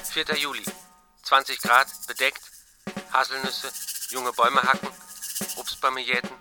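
A man's voice: mid-range voice, flat delivery, normal speed, lightly acted. Man playing a terse diarist over music. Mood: terse, factual. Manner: terse, telegraphic listing. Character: male farm diarist reciting weather and chores. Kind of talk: diary reading in a radio play. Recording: music and drums behind voice.

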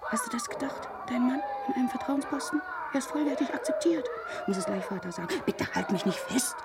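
An actress playing a brash, nagging middle-aged East Berlin housewife in a grotesque radio play, sharp-tongued and bickering. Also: female voice, wildly swooping pitch, a little fast, heavy background noise, full character voice. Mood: agitated. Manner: sharp-tongued, bickering, swinging from hushed to shrill. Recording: music behind the voice.

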